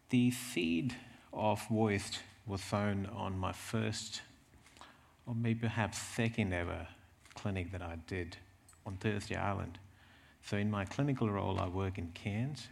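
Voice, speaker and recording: Male clinician as conference speaker, deep creaky voice, emphatic storyteller who likes rhetorical questions. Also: a little hiss or hum. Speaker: clinician presenting at a health event. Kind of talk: conference presentation with anecdotes.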